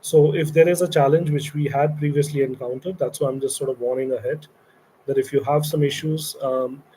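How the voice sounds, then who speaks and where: A middle-aged man from India speaks with an Indian English accent, walking new interns through a program he founded. His delivery meanders, with many pauses and fillers. His voice is warm and mellow, with a faint hum of noise behind it. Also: mid-range voice, steady tone, low-quality recording. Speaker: company founder leading an internship. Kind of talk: recorded orientation talk to interns.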